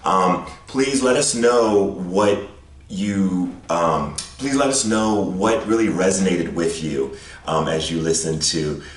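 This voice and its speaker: A man coaching speakers, introducing a new speaker in a halting, repetitive way. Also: deep voice. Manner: halting, repeats words. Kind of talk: casual introduction. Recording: echoey room, far from mic.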